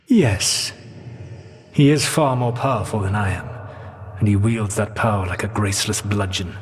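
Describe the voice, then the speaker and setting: A man playing an ancient elven trickster god for a video game, proud, brooding and regretful, with measured, formal, eloquent diction and a husky voice. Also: lowish voice. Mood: brooding, disdainful. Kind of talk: video game dialogue acting. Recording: long hall-like reverb.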